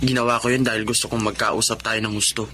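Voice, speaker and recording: An actor playing a polite, level-headed young bachelor in a Filipino melodrama, speaking frankly and courteously. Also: male voice, fairly low pitch, level tone, fast talker, bright, forceful tone, slight background noise. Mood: frank, confiding. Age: young adult.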